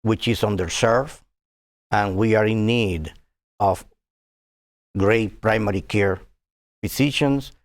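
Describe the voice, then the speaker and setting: A man in his sixties from Cuba, speaking as a physician guest in a TV interview, earnest and halting with many fillers. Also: lowish voice.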